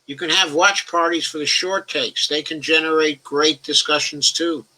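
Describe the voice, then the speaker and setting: Bright-voiced older man hosting a live chat. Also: fairly high pitch, fairly even intonation, normal speed, rough recording. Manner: talks about his own stutter, some restarts. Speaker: host of a political discussion show. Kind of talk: casual live-stream chat, reading out viewer comments.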